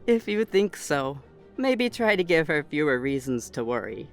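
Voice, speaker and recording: A man's voice: fairly high pitch, big pitch swings, normal speed, smooth, faint music behind. A man playing a stern, dry autumn fae noble who leads the Wild Hunt, speaking pointedly in an audio roleplay. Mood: dry, unimpressed. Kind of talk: scripted audio roleplay acting.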